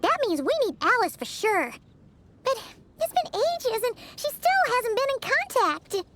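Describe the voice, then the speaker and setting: A woman voicing a small, girlish, excitable fairy companion in a video game, with a childlike squeaky voice. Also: a little slow, a little hiss or hum, full character voice. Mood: worried, puzzled. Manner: animated, expressive. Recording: background music under voice.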